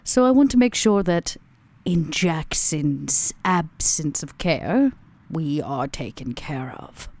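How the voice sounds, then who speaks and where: Female vampire schemer played by a woman at a game table: deep for a woman, dark timbre, commanding, formal, deliberate. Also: lively intonation, no room sound, exaggerated voice. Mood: assured, scheming. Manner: formal, deliberate, emphatic phrasing.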